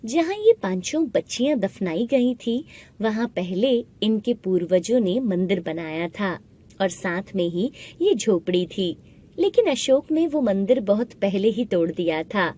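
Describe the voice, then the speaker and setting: A woman narrating a scripted animated story, with music playing behind her voice. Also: mid-range voice, unhurried. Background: background music.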